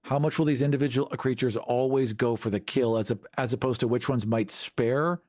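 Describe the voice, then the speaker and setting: A man's voice: mid-range voice, fairly even intonation, fairly quick, darkish tone, no echo, clean studio sound. A man co-hosting a podcast, moving between promo reads and discussion. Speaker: podcast producer and co-host.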